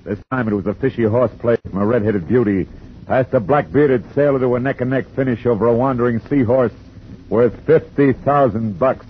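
A man's voice: mid-range voice, a little fast, slight background noise, lightly acted. An actor playing a wry, hard-boiled male private detective who narrates and wisecracks in a 1950s radio drama. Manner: dry, wisecracking. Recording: dull old radio with music.

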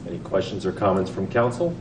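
A man chairing a council meeting, reading procedural motions in a brisk, routine way, recorded in a large council chamber. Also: low voice, lively intonation. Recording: echoey chamber, far mic, background noise.

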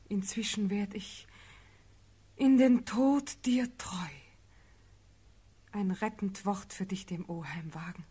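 An actress playing a tender, loyal and resolute young noblewoman in a solemn classical verse radio drama, with measured delivery. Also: mid-range voice, mellow, husky.

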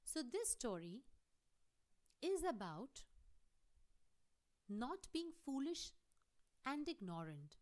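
A woman English teacher reading a play aloud for students in a clear, expressive way. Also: sits high, slow pace, long pauses. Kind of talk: read-aloud lesson for students.

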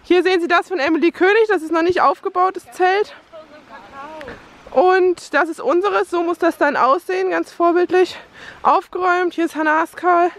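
A woman's voice: extremely high pitch, normal speed. A young woman giving a playful mock tour of a campsite while filming on a phone, outdoors. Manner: jokey, playful tour-guide tone. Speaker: friend filming. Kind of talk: mock presenter commentary.

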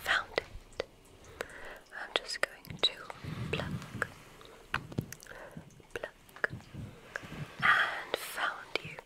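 A woman whispering gently and soothingly in an ASMR roleplay as a kindly witch examiner, breathy and unhurried. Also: rough recording. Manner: gentle, unhurried, soothing, theatrical word choice with soft repetitions. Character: kindly witch medical examiner at a magic school. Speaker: ASMR performer playing a witch doctor.

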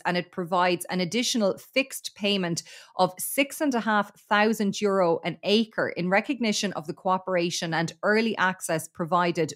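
An Irish woman reporting agricultural news on a podcast in a steady, detailed way with occasional fillers. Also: medium pitch.

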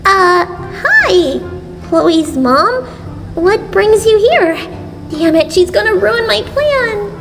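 A woman's voice: very high-pitched, expressive, drawn-out words, fairly bright tone, exaggerated voice. A woman voicing an earnest, awkward, scheming schoolgirl in a cartoon comic dub. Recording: long reverb, music behind.

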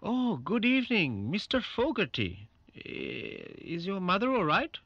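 A bright, courteous male call operator. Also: sits high, wildly swooping pitch, measured pace, gravelly, no echo, exaggerated voice. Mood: helpful. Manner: polite, solicitous. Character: Paul, courteous, sympathetic male alarm-company call operator. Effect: intercom speaker. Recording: dull alarm-intercom speaker sound.

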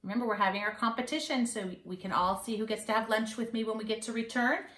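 A woman's voice: fairly high pitch, steady tone, fast, fairly bright tone. A woman in her forties speaking as a teacher, explaining things simply and instructively to young children. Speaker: teacher reading to pupils. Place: living room at home. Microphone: camera a few feet away. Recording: roomy home recording, slightly distant camera.

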